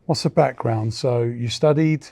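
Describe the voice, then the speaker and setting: A man's voice: mid-range voice, unhurried, warm tone, dry recording. A man interviewing a business founder, asking friendly, prompting questions in a casual chat.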